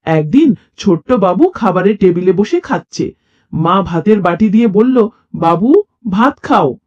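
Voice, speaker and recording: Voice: mid-range voice, animated, normal speed, darkish tone, lo-fi sound. A storyteller reading scripted funny stories for children.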